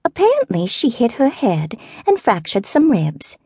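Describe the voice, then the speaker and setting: A woman voicing a fussy elderly neighbor with a Southern accent, who talks in a slow, meandering, wavering falsetto. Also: wildly swooping pitch, a little mellow, husky, dry recording, clean studio sound, exaggerated voice. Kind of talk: audiobook character voice.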